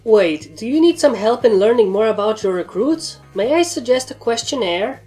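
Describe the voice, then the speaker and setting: A woman's voice: fairly high pitch, lively intonation, moderate pace, warm tone, faint music behind, pitched above the performer's own voice, natural voice. A woman voicing an eager, studious young female pony who talks politely and a little awkwardly in a story. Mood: eager, helpful.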